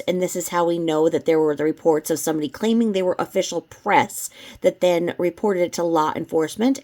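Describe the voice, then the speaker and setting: A woman's voice: medium pitch, a little mellow. A woman reacting to a video with critical, disapproving commentary.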